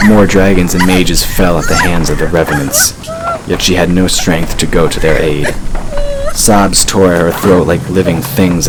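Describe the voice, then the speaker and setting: A man's voice: sits low, heavy background noise. A man reading scripted fantasy narration in a dramatic storytelling style, as an audiobook narrator. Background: ambient sound effects.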